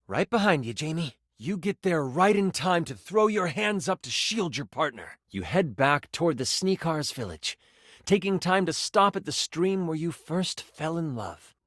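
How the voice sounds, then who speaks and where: Animated, dramatic game master. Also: male voice, a little high, no echo, clean studio sound, exaggerated voice. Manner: expressive, dramatic, shifting into asides. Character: excitable, kindly male tabletop game master, dramatic storyteller. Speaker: game master character in a dating sim game. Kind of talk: theatrical tabletop role-play narration.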